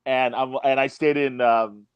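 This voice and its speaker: A middle-aged man telling lively anecdotes in a casual chat, acting out quotes and laughing often. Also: medium pitch, a little slow, clear, resonant tone, no echo, muffled recording. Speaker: podcast guest.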